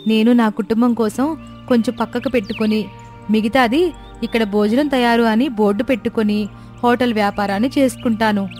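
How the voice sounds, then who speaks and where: A woman's voice: fairly high pitch, steady tone, normal speed, darkish tone, natural voice. A woman voicing a caring, earnest poor daughter-in-law from a village in a children's story cartoon. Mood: hopeful. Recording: music bed behind the voice.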